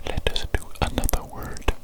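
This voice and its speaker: An ASMR performer voicing an evil doctor who tends a creature, whispering in a breathy, repetitive, politely instructing way. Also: normal speed, close to an everyday voice. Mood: calm, attentive. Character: evil doctor calmly tending a big creature patient. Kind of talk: whispered ASMR medical roleplay.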